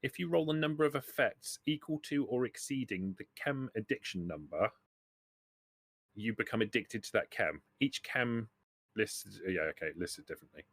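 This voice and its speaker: A man's voice: lowish voice, normal speed, fairly bright tone. A man acting as game master, explaining rules and running a tabletop roleplaying game, often rephrasing as he goes.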